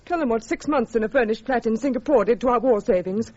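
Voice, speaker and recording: An actress playing a strained, weary, sharp-tongued army wife in a radio drama, clipped and emotional. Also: female voice, a little high, fast, lightly acted. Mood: strained, exasperated. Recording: dull, band-limited radio recording.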